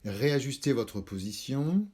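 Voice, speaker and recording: A man leading a guided yoga nidra meditation, calm and measured, instructing in short spaced phrases. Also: medium pitch, husky. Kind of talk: slow guided relaxation meditation.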